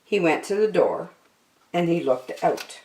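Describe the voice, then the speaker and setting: A woman reading a children's story aloud expressively, with deliberate, dramatic phrasing. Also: on the low side, sing-song, darkish tone, reverberant, low-quality recording.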